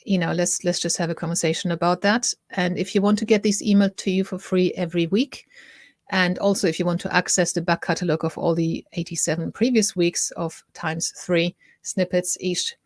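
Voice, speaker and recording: A woman's voice: on the low side, fairly even intonation, a little fast, dry recording, studio quality. A middle-aged woman with a German accent, hosting a casual talk to camera, chatty, often breaking off mid-sentence to ask viewers what they think. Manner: chatty, self-interrupting, asks viewers for opinions. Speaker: host.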